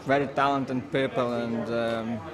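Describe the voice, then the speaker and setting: A man from Russia with a Russian accent, talking casually and haltingly as he searches for words in English. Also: medium pitch, little pitch movement. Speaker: engraver, coin carver. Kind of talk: casual interview talk. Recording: busy outdoor crowd chatter behind.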